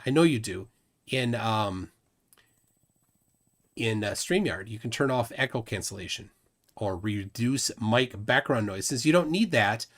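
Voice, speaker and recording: A man hosting a casual livestream, reading out viewer comments and laughing often. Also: medium pitch. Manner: chatty, reads chat comments aloud, laughs often. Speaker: livestream host.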